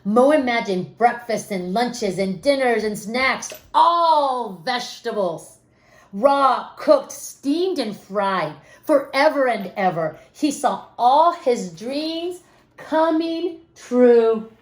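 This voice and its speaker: A woman reads a children's story in a roomy, echoing space. Her pitch sits fairly high and swings widely. She takes her time, pausing for drama and putting questions to young listeners. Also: expressive. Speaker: storybook reader. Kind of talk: animated children's read-aloud with asides to listeners. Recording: roomy, echoing room sound.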